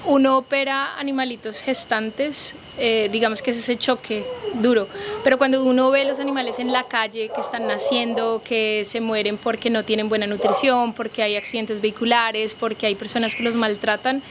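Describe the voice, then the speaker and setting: Earnest Colombian woman veterinarian, high voice. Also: level tone, moderate pace. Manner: earnest, persuasive, addresses listeners directly. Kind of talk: news interview, public appeal. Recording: background noise from the surroundings.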